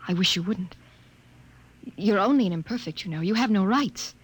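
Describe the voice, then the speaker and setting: An actress playing an earnest, troubled young woman scientist in a 1950s radio drama, with a breathy voice and halting delivery. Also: medium pitch, animated. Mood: wondering, tender.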